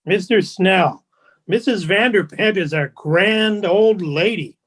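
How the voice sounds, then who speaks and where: A man's voice: high-pitched, slow pace, a bit bright, full character voice. A man playing a deferential, snobbish society go-between in a radio play, with a formal and genteel manner. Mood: genteel, condescending. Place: remote home recording over video call. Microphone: video call.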